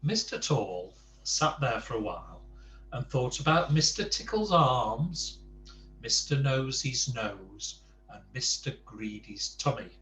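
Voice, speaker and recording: A man's voice: mid-range voice, expressive, takes it slowly, fairly bright tone, echoey room, a little hiss or hum, poor audio. A man reading a children's bedtime story aloud, adding chatty asides to the listener.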